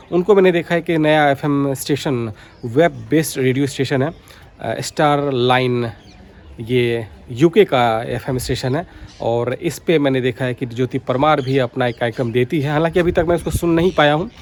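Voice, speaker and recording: A man giving informal, explanatory vlog commentary, going back over his points as he speaks. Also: medium pitch. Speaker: radio hobby vlogger. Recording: clearly noisy background.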